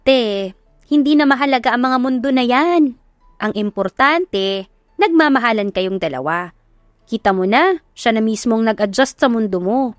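A woman's voice: a little high, animated, moderate pace, long pauses, dry recording, studio quality, faint music behind, close to an everyday voice. A woman voicing a sassy, encouraging young female best friend in an audio love story, with slangy, emphatic, teasing pep talk. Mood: reassuring.

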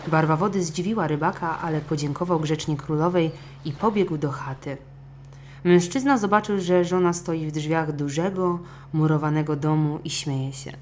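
Woman, low pitch, children's audiobook narrator, expressive, scripted storytelling, faint background noise. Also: moderate pace.

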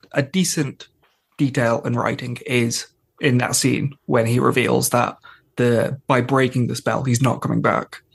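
A man's voice: medium pitch, vocal fry, slightly rough. A man appearing as a podcast guest, casually discussing a television episode.

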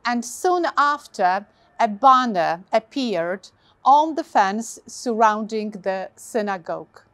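A woman in her thirties with a Polish accent speaks slowly and deliberately, her voice pitched a little high. She presents history to camera outdoors, and the lapel mic gives a close, dry sound. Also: fairly bright tone, no echo. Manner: measured, slow, deliberate delivery. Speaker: guide. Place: outdoors by a modern building.

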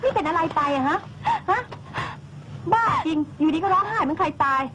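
A woman's voice: very high-pitched, expressive, moderate pace, heavy background noise. A woman playing a blunt young woman friend who scolds and insists sharply. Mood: scolding.

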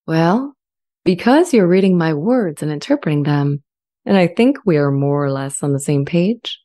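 A woman playing an earnest, friendly, self-aware artificial intelligence in a scripted dramatization, speaking in a measured, articulate way. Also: sits low, expressive, warm tone. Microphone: studio condenser mic with pop filter, close.

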